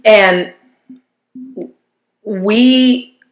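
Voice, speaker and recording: A woman's voice: medium pitch, extremely slow. A woman co-hosting a faith podcast, speaking reflectively with frequent restarts. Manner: reflective, often restarts, quotes scripture figures. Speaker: podcast co-host. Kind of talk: faith-based podcast conversation. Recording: roomy echo.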